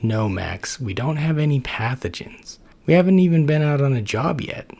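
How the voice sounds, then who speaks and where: A man's voice: mid-range voice, animated, moderate pace, vocal fry, darkish tone, pitched above the performer's own voice. A man voicing a tired, exasperated male helper T cell who keeps explaining things to his roommate.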